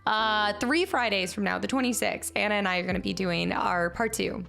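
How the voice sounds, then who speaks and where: A woman streamer chatting casually with her viewers and thanking them, upbeat, her voice a little raspy today. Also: medium pitch, normal speed, fairly bright tone, faint music behind. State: slightly raspy voice. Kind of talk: casual stream chat reading chat. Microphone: streaming mic.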